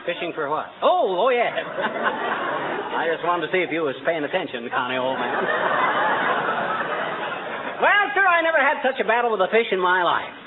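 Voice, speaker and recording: A boastful, blustering American tall-tale teller in a 1940s radio comedy. His folksy, rambling voice sits fairly high and swings freely, through a tinny, noisy old broadcast recording. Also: very animated, moderate pace, pauses often, over a noisy crowd.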